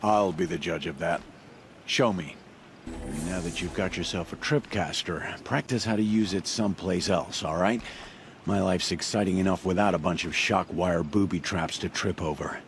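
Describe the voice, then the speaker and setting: An actor playing a grumbling, cagey outlaw trader in a video game, with a sarcastic, begrudging manner. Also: male voice, low voice, normal speed, a little hiss or hum. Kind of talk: video game dialogue acting.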